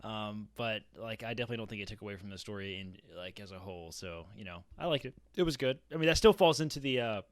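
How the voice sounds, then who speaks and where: A man's voice: on the low side, fast, few pauses, dry recording. A man in his thirties chatting casually as a podcast panelist, hesitant and repeating himself as he goes. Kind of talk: casual chat. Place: home room, indoors. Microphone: close podcast mic on video call.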